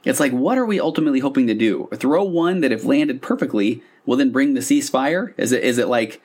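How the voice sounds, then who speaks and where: A man's voice: medium pitch, expressive, brisk, a little mellow, breathy edge. A man talking informally and animatedly as a podcast host, explaining things like a couples therapist into a microphone. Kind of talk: conversational solo podcast explainer. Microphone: podcast microphone on a stand.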